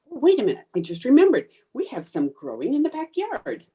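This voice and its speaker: Woman acting stubborn cheerful housewife, scatterbrained, old-time radio comedy, animated pitch, soft-edged mellow tone, emphatic. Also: fairly quick, husky, heavily acted. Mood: blithely confident.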